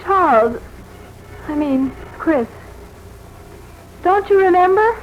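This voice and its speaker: A devoted American wife, anxious and earnest. Her voice is high and pleading, in the manner of 1940s radio drama. The recording is muffled, with a little background noise. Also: lively intonation, takes it slowly, exaggerated voice. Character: anxious, devoted young wife and former nurse.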